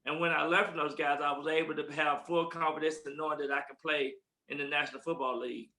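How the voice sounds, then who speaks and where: A man, a guest answering interview questions in a relaxed, halting way. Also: a little high, echoey room, low-quality recording. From East Texas, USA. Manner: relaxed and halting, with repeated words and fillers. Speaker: guest, former football player.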